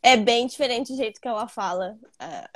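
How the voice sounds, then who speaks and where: A woman student talking casually with her teacher, agreeable and quick to laugh. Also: fairly high pitch, fairly bright tone, no echo. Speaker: student guest. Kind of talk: casual conversation.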